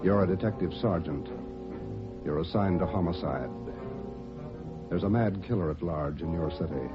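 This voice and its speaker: A man's voice: low voice, moderate pace, over loud music. A man announcing in a stark, punchy dramatic way, on a muffled vintage radio broadcast.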